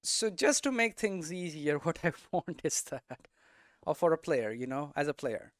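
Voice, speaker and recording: A man's voice: a little high, sing-song, moderate pace, no echo, studio quality. A man giving a casual, unscripted tutorial as a game developer, thinking aloud and often checking in with rhetorical questions. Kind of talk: casual, unscripted explanatory talk.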